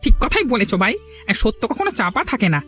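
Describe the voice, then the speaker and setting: Man acting a wildly animated righteous villager. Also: very high-pitched, quick pace, soft background music, heavily acted. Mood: righteous, indignant. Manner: emphatic, moralizing. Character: righteous, indignant village man demanding punishment for liars. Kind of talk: cartoon dialogue acting.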